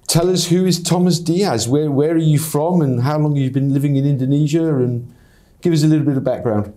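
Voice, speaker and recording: Mellow-voiced male podcast host. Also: a little high, brisk. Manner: friendly, encouraging interjections. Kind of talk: podcast interview.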